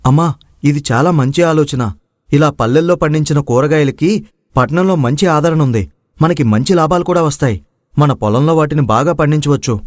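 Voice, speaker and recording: A man's voice: on the high side, normal speed, no echo, clean studio sound, natural voice. A man acting an earnest, aggrieved young village son in a moral story drama. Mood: earnest, hopeful. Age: young adult.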